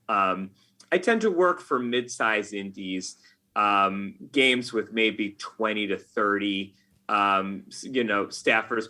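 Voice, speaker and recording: Bright-voiced American man, fairly low pitch. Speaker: podcast guest, video game writer. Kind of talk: conversational interview answers.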